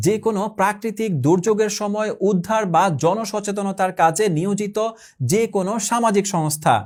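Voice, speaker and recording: A man from Bangladesh delivering a scripted sales pitch, briskly listing product features, prices and uses. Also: darkish tone. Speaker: product promoter. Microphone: handheld phone shown on camera.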